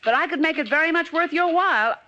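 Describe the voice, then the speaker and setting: A woman's voice: extremely high pitch, fast, sharp tone, lo-fi sound. An actress playing a persistent, impatient female reporter, pressing with insistent questions in 1940s radio serial style. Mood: coaxing, impatient. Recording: faintly noisy vintage radio recording.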